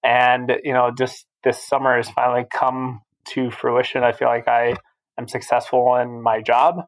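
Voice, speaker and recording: A man in his thirties from Albania, a guest on a podcast, who talks through his life in a casual, steady way. His pitch is middling and his intonation stays level with little rise and fall. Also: normal speed, rough recording. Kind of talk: casual interview chat.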